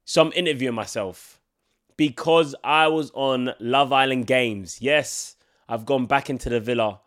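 A man's voice: mid-range voice, unhurried, a bit bright. Congested young man chatting casually. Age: 20s. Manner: casual, rambling, many filler likes. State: congested from a cold. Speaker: podcast host. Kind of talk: solo podcast chat.

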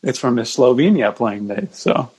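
A man's voice: mid-range voice, lively intonation, normal speed, darkish tone, breathy edge. A man speaking as a guest on a sports podcast, chatting casually. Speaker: podcast guest, jersey ranking expert.

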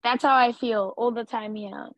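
A woman from Africa in a casual conversation, speaking in a slow, thoughtful, laid-back way. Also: on the high side, fairly even intonation, no room sound. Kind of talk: casual chat. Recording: dull dry lo-fi sound.

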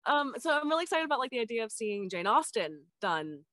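A stage actor chatting as a podcast guest, lively and laughing often, with the pitch jumping up when excited. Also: female voice, quick pace, no echo. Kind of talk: casual chat.

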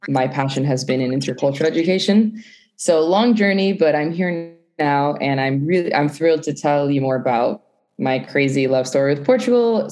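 A young woman with a strikingly low voice talks through her story as a panelist. She rambles and restarts, correcting herself mid-sentence and laughing along the way, in an easy, casual panel-talk manner. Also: a little mellow. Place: living in Portugal.

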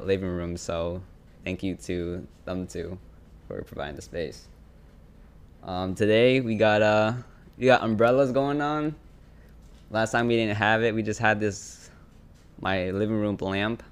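A man's voice: deep voice, clear, resonant tone. A man in his twenties hosting a casual podcast from a living room, loose and slangy, laughing easily. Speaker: podcast host. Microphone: single podcast mic.

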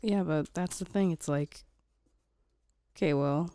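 This is a woman co-hosting a chatty podcast, her voice a little raspy from a cold yet smooth and clear underneath. She laughs and jokes a lot, recorded close and dry with no room sound. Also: deep voice, unhurried, mellow, dry recording. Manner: laughs often, jokey. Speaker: podcast co-host. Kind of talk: casual chat.

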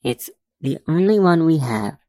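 A woman voicing a brisk, no-nonsense, dismissive female judge in an audiobook. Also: sits low, animated, warm tone, dry recording, studio quality, pitched above the performer's own voice. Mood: firm warning.

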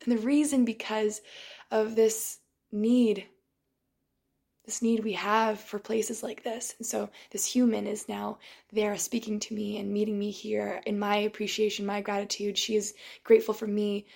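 A young woman with a flat, level pitch talks in a casual, thoughtful way. Her voice sounds tired and strained from shouting. She stops and restarts often, clearing her throat between thoughts. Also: a little slow. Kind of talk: casual reflective monologue.

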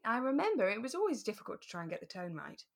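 A woman's voice: medium pitch, big pitch swings, racing, creaky. Chatty woman, casual podcast co-host. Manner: chatty, often laughs while talking. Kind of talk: casual chat about a radio drama.

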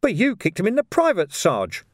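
A man's voice: high voice, huge pitch swings, moderate pace, dry recording, clean studio sound, pitched above the performer's own voice, exaggerated voice. A man voicing an eager, naive young lance constable, earnest and deferential, in an audiobook. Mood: complaining. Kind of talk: audiobook character voice.

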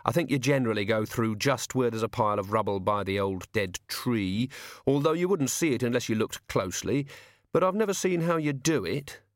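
A man's voice: medium pitch, lively intonation, a little fast, no echo, clean studio sound, close to an everyday voice. A man voicing a neat, precise, conversational villain with polite menace in an audiobook. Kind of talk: audiobook character voice.

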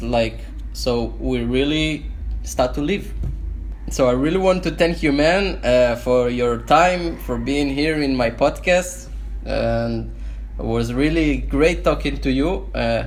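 Slow-spoken Israeli podcast host. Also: male voice, medium pitch, a little hiss or hum, low-quality recording. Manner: earnest, reflective, searching for words. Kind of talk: casual interview chat.

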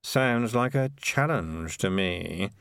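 A man voicing a cocky, menacing piper in a storybook, smug and threatening, with a slow, condescending drawl. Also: on the low side, animated, gravelly, fairly bright tone, clear, resonant tone, no room sound, studio quality, voice pushed down, exaggerated voice. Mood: smug menace.

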